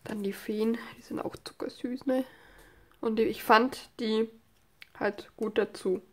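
A woman's voice: on the high side, fairly even intonation, a little slow, fairly bright tone. A woman with a stuffy nose from a cold, chatting casually through a crafting tutorial at her desk, rambling with many fillers and asides. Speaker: craft channel host. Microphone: overhead camera filming hands on desk.

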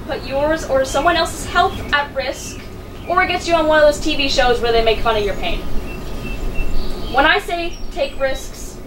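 Teen girl salutatorian reading her speech, earnest, kinda far from the mic, noisy room. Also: high-pitched. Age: teens. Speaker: salutatorian giving graduation speech. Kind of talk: prepared speech at a podium. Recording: distant mic with clear background noise.

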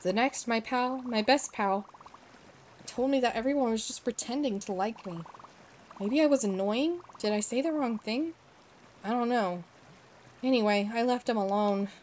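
A woman's voice: fairly high pitch, normal speed, a little mellow, a little hiss or hum, natural voice. A woman voicing a nervous young male duck kid who squawks and gets defensive, in a cartoonish game. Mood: sad.

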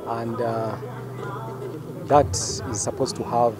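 A middle-aged man, a club chairman, answering press questions outdoors, pausing often. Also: medium pitch, flat delivery, over music. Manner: speaks plainly with frequent "uh" pauses. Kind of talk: press interview. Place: outdoors in front of a sponsor backdrop. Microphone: handheld press mics close up.

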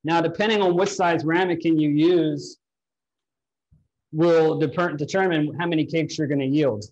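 A man's voice: fairly high pitch, fairly even intonation, moderate pace, a little mellow. A man in his thirties leading a live cooking demonstration in a large kitchen, explaining each step to viewers from a distant camera. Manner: instructive, walks viewers through steps. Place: large home-style kitchen, indoors. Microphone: far camera. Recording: dull lo-fi livestream audio.

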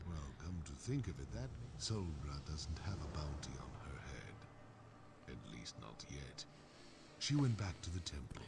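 A man voicing a gruff, blunt, battle-hardened orc warrior in a fantasy video game, with a gravelly voice. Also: rumbling low, noisy background, full character voice. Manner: blunt, swearing, wry. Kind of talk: fantasy game character acting.

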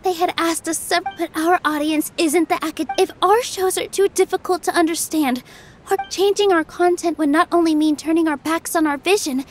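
A woman playing a gentle, encouraging young female dancer with a sweet, girlish voice in a video game. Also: very high-pitched, fairly even intonation, normal speed. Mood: distressed. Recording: music behind the voice.

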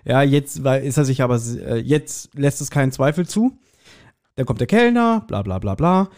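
Male podcast co-host, forties, soft mellow tone, chatty and jokey storyteller. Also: medium pitch, expressive, moderate pace. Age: 40s. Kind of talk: casual podcast chat recapping an audio drama.

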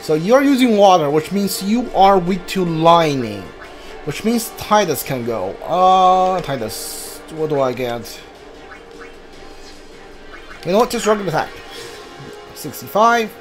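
A man's voice: high-pitched, very animated, measured pace, long pauses, soft background music. A man doing live gameplay commentary as a streamer, thinking aloud as he plays. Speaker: game streamer.

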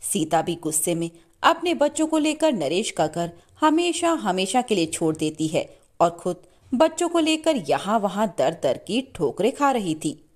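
A woman's voice: mid-range voice, big pitch swings, measured pace. A woman storyteller narrating a scripted moral tale with dramatic storybook delivery.

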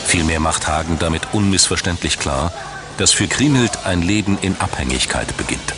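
Male narrator, very low voice, measured and solemn scripted documentary read over prominent music. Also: lively intonation. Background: background music.

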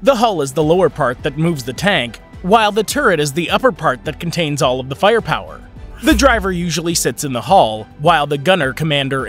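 A man giving scripted documentary narration in an even, informative way. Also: fairly high pitch. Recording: voice-over with faint background music.

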